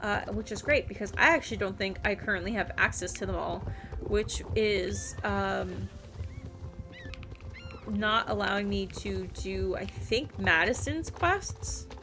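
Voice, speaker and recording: A woman's voice: mid-range voice, unhurried, background noise. A woman livestreaming a game, chatting and rambling casually and reading things aloud as she plays. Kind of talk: casual stream chat and game commentary.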